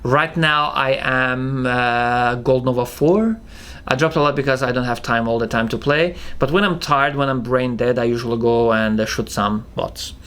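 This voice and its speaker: A young man with a thick Russian accent, casually answering viewer questions as a channel co-host, halting with many fillers and restarts. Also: medium pitch, fairly bright tone, clean tone. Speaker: channel co-founder hosting Q&A. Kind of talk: casual Q&A chat.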